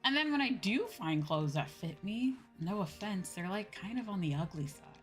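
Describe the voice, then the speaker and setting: A woman's voice: medium pitch, expressive, normal speed. A woman livestreamer chatting casually and informally with viewers while she plays a game, rambling in slangy speech. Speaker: livestreamer, VTuber host. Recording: music playing behind the voice. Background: game music.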